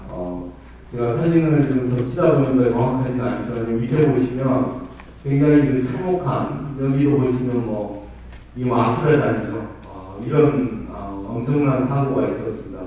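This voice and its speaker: A middle-aged man who is hoarse from a cold gives a polite, conversational presentation at a conference. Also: mid-range voice, normal speed, dark tone. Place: large conference hall. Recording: very echoey hall, voice distant.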